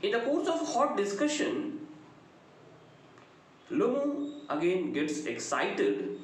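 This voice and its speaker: A middle-aged man with a South Indian accent giving an explanatory lecture in a deliberate, emphatic, sing-song delivery. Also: sits high, wildly swooping pitch. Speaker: English lecturer. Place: small indoor room. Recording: very echoey room, faint noise.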